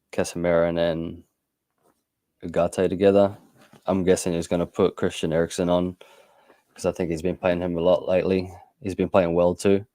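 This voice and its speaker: A man co-hosting a casual football podcast, talking through his team's form in a plain, unhurried way. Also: low-pitched, dark tone, no echo. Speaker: podcast co-host.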